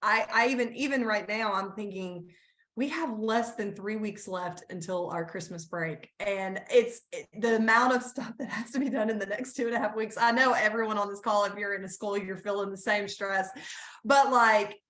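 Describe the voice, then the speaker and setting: A woman's voice: medium pitch, moderate pace, a bit bright. A woman gives an earnest, encouraging talk to teachers over a laptop webcam mic. She often restarts her sentences as she thinks aloud. The sound has a roomy echo from her home room. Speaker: school staff presenter. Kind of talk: conference talk on a video call.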